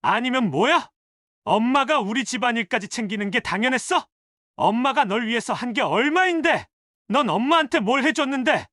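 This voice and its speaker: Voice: mid-range voice, lively intonation, a bit bright, dry recording, studio quality, close to an everyday voice. An actor playing an indignant adult son scolding a spouse with pointed, accusatory questions in a dramatized family story. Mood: angry, accusing. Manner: accusatory, rapid rhetorical questioning. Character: indignant adult son scolding his wife over his mother.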